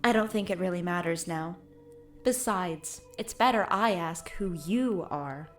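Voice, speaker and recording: A woman's voice: lowish voice, a little slow, soft background music, close to an everyday voice. A woman playing a guarded, cryptic female ghost in an audio drama, speaking in an aloof, challenging and enigmatic way.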